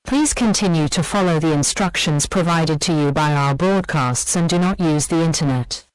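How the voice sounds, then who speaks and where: Female emergency alert announcer, acted, low-pitched and official, measured scripted delivery for an audio drama, very dry recording. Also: no echo, natural voice, poor audio. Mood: grave, official.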